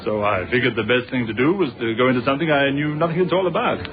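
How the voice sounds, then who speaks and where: A man's voice: medium pitch, quick pace, close to an everyday voice. An actor playing an affable middle-aged ex-policeman in a British radio drama, easygoing and conversational as he reminisces. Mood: relaxed, jovial. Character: affable ex-policeman turned businessman, old friend. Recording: muffled, narrow-band old radio recording.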